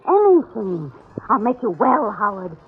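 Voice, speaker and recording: A woman's voice: medium pitch, sing-song, a little slow, narrow, tinny audio, soft background music. An actress playing a scheming, vain woman in a 1940s radio horror drama, urgent and melodramatic. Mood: desperate coaxing. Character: scheming, vain woman pleading with an armed killer. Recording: noisy, thin old radio broadcast recording.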